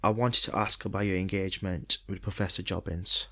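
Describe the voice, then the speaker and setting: A man's voice: sits low, little pitch movement, a little fast, pushed and bright, full character voice. A man acting a polite, probing detective in an audio drama. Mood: politely probing. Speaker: actor in a podcast drama.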